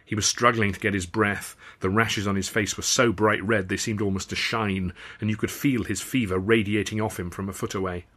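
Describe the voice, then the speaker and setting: A man's voice: lowish voice, steady tone, brisk, few pauses, a bit bright. A man reading his own novel as narrator, telling the story in a wry, literate way. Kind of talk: audiobook narration with acted dialogue.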